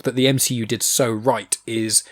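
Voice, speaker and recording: A young man hosting a podcast, rambling in animated casual chat and swearing now and then. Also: medium pitch, normal speed. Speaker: podcast host.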